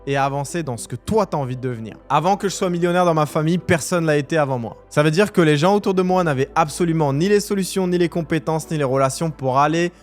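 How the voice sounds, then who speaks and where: A man's voice: on the high side, quick pace, few pauses, faint music behind. A man in his twenties from Algeria, giving a direct, emphatic motivational talk straight to the viewer. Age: 20s. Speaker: entrepreneur vlogger.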